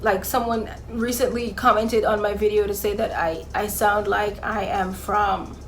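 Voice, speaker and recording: A woman's voice: mid-range voice, steady tone, measured pace, a little hiss or hum. A young woman from Jamaica with a mixed Jamaican and Bahamian accent, talking casually to camera with sassy, sarcastic asides. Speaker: vlogger.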